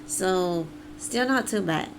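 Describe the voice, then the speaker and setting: A woman's voice: on the low side, slow pace, slight background noise, low-quality recording. A woman chatting casually as she live-streams a game, close to her microphone.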